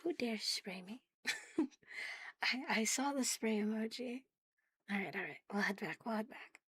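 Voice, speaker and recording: A woman chatting casually to viewers on an ASMR livestream, soft and breathy with a playful, cutesy manner, often dropping to a whisper close to the mic. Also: on the high side, animated, measured pace. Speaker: ASMR live streamer hosting in a virtual world. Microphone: close, sensitive streaming microphone.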